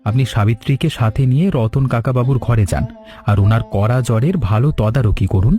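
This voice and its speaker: A man voicing a calm, responsible young village husband in a cartoon story, steady and formal. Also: mid-range voice, a little fast, soft background music, lightly acted. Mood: decisive. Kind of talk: Bengali cartoon story dialogue.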